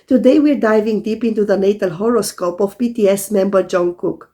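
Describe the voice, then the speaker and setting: A hoarse woman, an astrologer, calmly explaining in scripted video narration while recovering from a sore throat. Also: medium pitch, a little fast. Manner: calm, methodical explaining to viewers.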